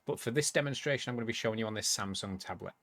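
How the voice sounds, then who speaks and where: Man, low voice, dry close sound, casual tech tutorial, explains as he goes. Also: very fast, dry recording. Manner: relaxed, explanatory, thinking aloud while demonstrating. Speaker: tutorial video host.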